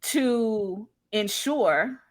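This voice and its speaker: A woman's voice: medium pitch, expressive, very slow. A woman speaking as a guest in a live discussion, conversational and emphatic, often checking in as she shares her experience. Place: live online video call.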